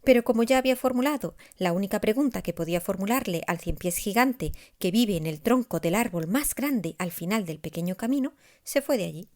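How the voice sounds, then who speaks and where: A woman narrating a scripted children's story expressively, with deliberate emphasis. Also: mid-range voice, expressive, darkish tone. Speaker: author narrating her own story.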